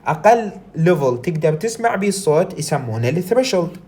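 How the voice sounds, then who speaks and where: A woman with an Iraqi Arabic accent giving a recorded lecture. She reads English text slowly, then explains it in Arabic, often asking and answering her own questions. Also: fairly low pitch, sing-song, warm tone.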